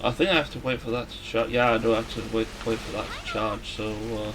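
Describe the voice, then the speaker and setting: A man giving casual, somewhat halting video game commentary as a let's play host. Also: on the low side, little pitch movement, background noise. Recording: music behind the voice. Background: game music.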